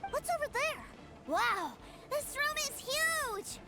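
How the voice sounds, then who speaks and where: Very high-pitched cheerful little boy. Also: very animated, takes it slowly, exaggerated voice. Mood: excited. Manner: innocent, curious, childlike. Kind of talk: scripted video game voice acting. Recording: loud game music behind the voice.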